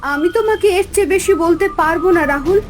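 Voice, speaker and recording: Very high-pitched ghost girl played by a woman. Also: a little fast. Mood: urgent, secretive. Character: ghost of a murdered young woman, pleading, mysterious. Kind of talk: Bengali horror cartoon voice acting. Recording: loud music over voice.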